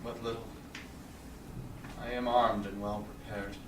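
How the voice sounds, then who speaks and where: A man's voice: fairly low pitch, level tone, unhurried, close to an everyday voice. A man playing a resigned, noble merchant in a staged Shakespeare reading, solemn and formal. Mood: resigned, tender. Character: resigned, noble merchant facing death for friendship. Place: small theatre stage, indoors. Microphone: far audience camera. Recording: echoey stage, distant camera, clear noise.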